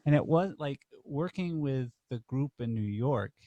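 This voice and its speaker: A man co-hosting a podcast, a dance teacher speaking in a halting, heartfelt way with many restarts. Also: mid-range voice, animated, warm tone, no room sound. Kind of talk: casual podcast conversation.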